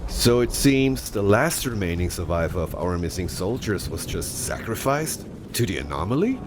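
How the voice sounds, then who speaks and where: A man presenting a game showcase in scripted, measured, explanatory promotional narration. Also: low-pitched, big pitch swings, noisy background. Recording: voice-over with clear music behind.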